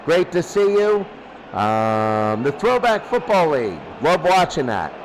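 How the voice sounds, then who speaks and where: High-pitched man calling football play-by-play. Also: big pitch swings, unhurried, a little hiss or hum, low-quality recording. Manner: repeats phrases and draws out calls. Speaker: sports commentator. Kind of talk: excitable play-by-play commentary of a football game.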